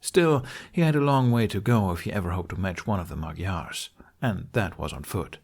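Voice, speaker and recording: A man's voice: low-pitched, animated, normal speed, creaky, a little mellow, slightly rough. A man reading scripted story narration as the narrator of an audiobook.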